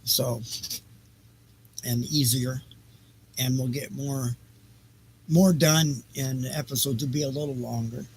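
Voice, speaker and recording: Male host, mid-pitched, informal rambling talk over some background noise. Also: fairly even intonation, takes it slowly, long pauses, a little mellow, rough recording. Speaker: show host. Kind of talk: casual, rambling sign-off chat.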